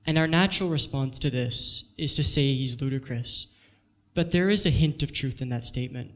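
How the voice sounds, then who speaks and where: A teenage student speaker, grown up in Singapore, giving an earnest, measured prepared talk. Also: male voice, medium pitch, fairly bright tone. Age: teens.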